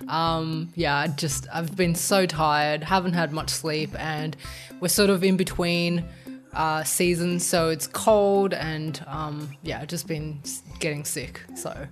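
A young woman, co-hosting a casual podcast, run down from illness and a bit raspy, chatting with lots of ums. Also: low-pitched, steady tone, slow, faint music behind. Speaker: podcast co-host. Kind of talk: casual chat.

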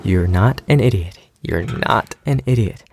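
A man's voice: fairly low pitch, lively intonation, measured pace, vocal fry, very noisy. A man acting an anxious but determined young man in an audio drama, with an earnest, uneasy way of talking. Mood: nervous, hushed. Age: young adult.

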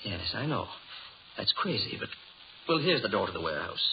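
A man's voice: on the low side, big pitch swings, a little fast. An actor playing a nervous, deferential rookie policeman, hesitant and earnest, in a 1930s radio drama. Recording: muffled, noisy 1930s radio recording.

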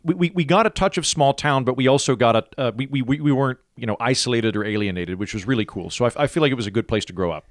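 A man from Oklahoma chatting as an interview guest, with dry, deadpan jokes and lots of rambling restarts. His voice is mid-pitched and ordinary. The sound is close and dry. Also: fast talker, few pauses, dry recording. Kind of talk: casual conversational interview.

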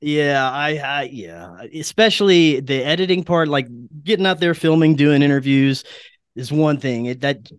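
Clear, smooth-voiced American man chatting casually. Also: a little high, lively intonation, normal speed, no echo, clean studio sound. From Louisiana, United States. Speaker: podcast guest, documentary maker. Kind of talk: casual podcast chat.

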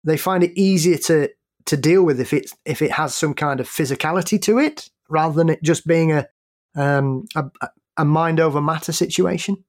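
A man's voice: a little high, normal speed. A man with a British accent guests on a podcast and speaks in a slightly raised voice. He is reflective and hesitant, often restarting his sentences. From United Kingdom. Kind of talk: casual podcast chat.

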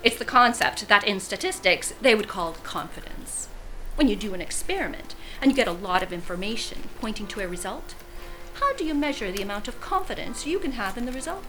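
A woman's voice: mid-range voice, animated, brisk, noisy background, natural voice. A woman playing an arch, pointed middle-aged wife who coolly needles her friend in an audio drama. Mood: hostly, pointed.

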